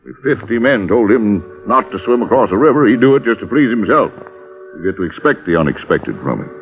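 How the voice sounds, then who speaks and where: Candid trader, deep voice, very animated intonation, hedging and conversational, vintage radio drama sound. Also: male voice, creaky, exaggerated voice. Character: candid, hesitant male tea buyer abroad. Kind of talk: 1940s-50s radio drama acting. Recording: dull old radio recording.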